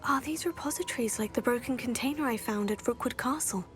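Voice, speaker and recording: A woman's voice: a little high, quick pace, faint music behind, close to an everyday voice. A woman playing an earnest, composed teenage witch student in a video game, speaking politely. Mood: urgent, informative.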